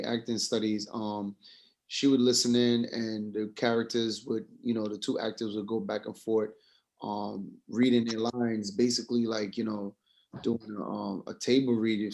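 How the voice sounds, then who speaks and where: Man thinking aloud, haltingly. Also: fairly low pitch, level tone, creaky, darkish tone. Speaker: participant in a film class group meeting. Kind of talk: casual class discussion.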